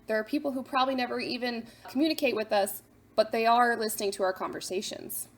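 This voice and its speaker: A woman hosting a scripted explainer for parents, instructive, posing questions and then answering them. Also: on the high side. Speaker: host of a parent guide to apps.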